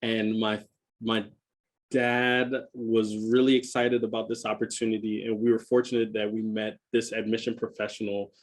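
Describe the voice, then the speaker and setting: A man's voice: on the low side, flat delivery, moderate pace. A man in his thirties from Jamaica who grew up partly in Philadelphia, telling his story reflectively as an interview guest, sometimes repeating and correcting himself. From Jamaica, moved to Philadelphia as a child. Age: 30s. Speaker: podcast guest, school enrollment director.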